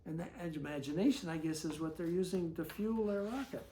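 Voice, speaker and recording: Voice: medium pitch, a little fast, mellow, roomy sound, slight background noise. A storyteller reading a picture book aloud to children, breaking off for folksy, conversational asides. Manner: folksy, conversational commentary between read lines.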